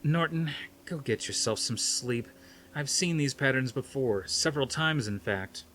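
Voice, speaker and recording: A man's voice: mid-range voice, measured pace, pushed and bright, a little hiss or hum, voice pushed up, rough recording. A man reading a novel aloud as a blunt, sardonic, skeptical male scientist with dry delivery.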